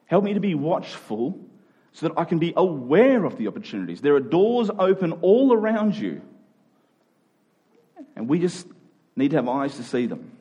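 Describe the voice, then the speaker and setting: A man's voice: fairly high pitch, sing-song, normal speed, pauses often, mellow, husky, muffled recording. A man preaching a conversational sermon in an informal but emphatic way, repeating key phrases to drive them home.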